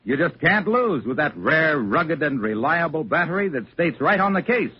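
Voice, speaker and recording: An actor playing an upbeat male pitchman in an old radio advertisement, brisk and emphatic. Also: big pitch swings, slightly rough, dull audio. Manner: emphatic salesmanship. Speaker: radio commercial announcer. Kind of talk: 1940s-50s radio advertisement.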